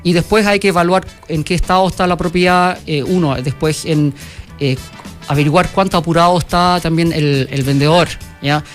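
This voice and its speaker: A man from Germany with a German accent, a company founder answering questions in a radio interview, halting and full of fillers and restarts. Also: fairly high pitch, steady tone, faint music behind. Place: radio studio.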